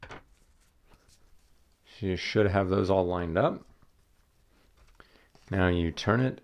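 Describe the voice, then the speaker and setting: A man's voice: low-pitched, creaky. A man who hosts a channel, casually walking through a step-by-step craft demonstration in a craft room. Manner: relaxed, friendly step-by-step explaining. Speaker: channel host. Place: indoor craft room at a table.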